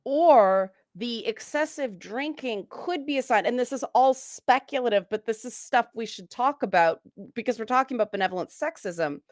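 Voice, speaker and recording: A woman's voice: a little high, animated, normal speed, hardly any pauses, no echo, clean studio sound. A woman speaking as a guest on a casual panel discussion, frank and opinionated.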